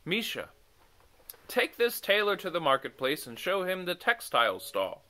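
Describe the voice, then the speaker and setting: A man voicing a commanding, wise king from a children's story, speaking in a regal, projected and deliberate way. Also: high-pitched, huge pitch swings, sharp tone, voice pushed up, exaggerated voice. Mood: measured. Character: commanding, wise king addressing his messengers.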